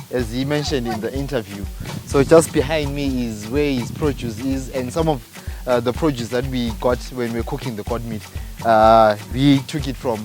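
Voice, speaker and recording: A man, a channel host giving a friendly outro with some hesitant fillers, outdoors on a farm. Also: medium pitch. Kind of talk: outro to camera. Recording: background music. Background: music.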